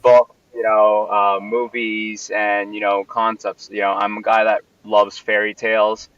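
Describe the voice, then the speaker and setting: A man from Puerto Rico speaks in a low, flat voice, wandering through his answers with constant fillers and false starts. The sound is dull and lo-fi, picked up from well off the mic in a dry space. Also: measured pace, dry recording, distant mic. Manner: rambling, many fillers and restarts. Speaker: venue owner and founder. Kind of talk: casual interview answers.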